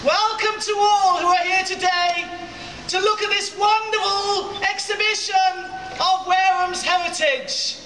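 A man acting as a town crier, giving a slow, bellowing ceremonial proclamation in a crowded indoor hallway. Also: very high-pitched, steady tone, pushed and bright. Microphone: far camera. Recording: echoey, distant, noisy hallway.

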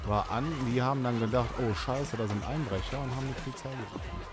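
A man's voice: mid-range voice, quick pace. A man guessing answers in casual podcast banter. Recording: music playing behind the voice. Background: background music.

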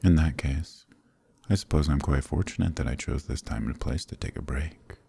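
Guy doing a demon prince, dark gravelly voice, breathy whispery flirting, bossy and teasing. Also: very deep voice, moderate pace, full character voice. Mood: seductive. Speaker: voice actor in audio roleplay. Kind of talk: ASMR roleplay, close intimate murmur.

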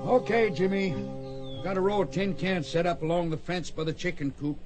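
An actor playing a fond, gruff Old West gunfighting mentor with folksy country phrasing in a radio drama. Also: male voice, high voice, a little fast, over music, close to an everyday voice. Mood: coaching. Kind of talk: radio drama acting, western.

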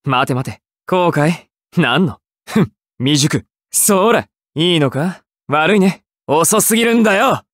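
Slow, taunting young assassin. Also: male voice, fairly high pitch, animated, a bit bright, no room sound, studio quality. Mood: taunting, confident. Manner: detached, taunting, theatrical. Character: cool, mocking young male assassin in fighting game. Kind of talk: anime fighting game battle lines.

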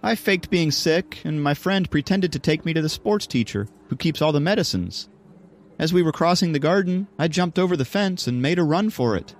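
A man voicing a bold, playful teenage high school girl who likes to tease, in an audiobook. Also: animated, moderate pace. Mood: pleased. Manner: teasing, mocking. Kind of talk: audiobook character voice.

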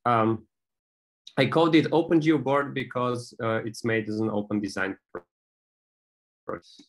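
Man, Bulgarian accent, gravelly mid-pitched voice, halting informal slide presentation, video call. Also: rough recording. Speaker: presenter, maker. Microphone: video call microphone.

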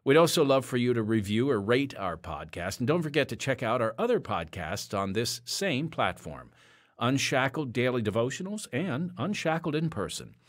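A man's voice: mid-range voice, very animated, moderate pace. A man hosting a podcast, reading announcements in an earnest, emphatic way.

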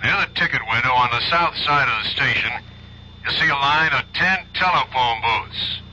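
Piercing, forceful detective chief. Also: male voice, medium pitch, moderate pace. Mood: instructing, cautioning. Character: brisk, authoritative male chief of federal detectives. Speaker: radio drama actor. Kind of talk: 1970s radio drama acting. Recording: dull, faintly hissy 1970s radio recording.

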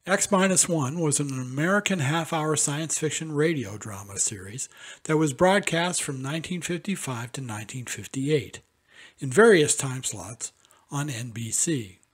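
A man's voice: on the high side, expressive, moderate pace, breathy edge. A man presenting a scripted introduction in a narrator's style.

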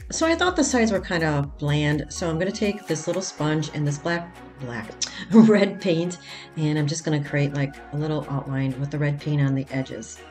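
A woman's voice: low voice, normal speed, darkish tone, soft background music. A woman hosting a craft channel, talking casually step by step through a project in a voice-over, with the odd filler. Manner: plain step-by-step explaining with fillers.

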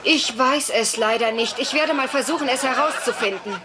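An actress playing a pushy, indignant single sister in a German-dubbed sitcom, dramatic in manner. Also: female voice, high voice, normal speed, crowd noise, natural voice. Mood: coy. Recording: noisy TV sitcom soundtrack.

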